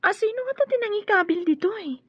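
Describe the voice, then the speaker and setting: A woman's voice: very high voice, lively intonation, quick pace, no echo. A woman playing a wary, fearful young woman torn by a family feud in a radio drama. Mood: guarded. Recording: muffled, lo-fi radio drama audio.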